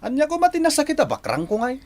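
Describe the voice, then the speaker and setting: A man's voice: extremely high pitch, huge pitch swings, fast talker, a little mellow, exaggerated voice. A man acting a carefree, joking bachelor son in his thirties, protesting playfully in a radio drama.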